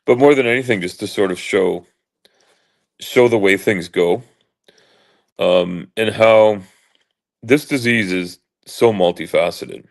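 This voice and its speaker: Deep gravelly man speaking haltingly. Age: 40s. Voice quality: deep booming voice. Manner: halting, many fillers and restarts; tries to keep his voice even. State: in pain. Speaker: vlogger. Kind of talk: casual monologue.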